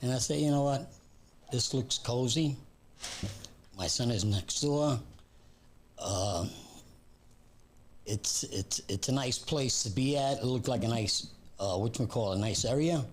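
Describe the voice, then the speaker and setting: A man's voice: mid-range voice, unhurried, long pauses, slight background noise. A man in his seventies, born in Puerto Rico and raised in New York, speaking as a guest in a casual interview in an easygoing, self-deprecating way. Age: 70s. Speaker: guest, business founder.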